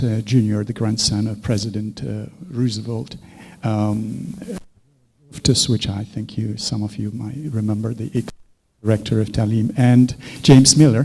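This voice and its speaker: A man's voice: mid-range voice, gravelly, darkish tone. A man giving an academic conference talk, hesitant with frequent fillers. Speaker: academic speaker. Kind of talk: conference lecture. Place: seminar hall.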